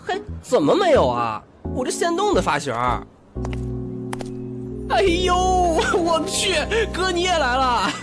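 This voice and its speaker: A petulant young male foodie played by a man bickering in a comic audio drama. The pitch jumps around a lot as he whines and blusters in slang. Music plays clearly behind the voice. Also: wildly swooping pitch, a little slow, full character voice. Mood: blustering. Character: whiny, petulant, food-loving chubby young male friend. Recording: music loud under the voice.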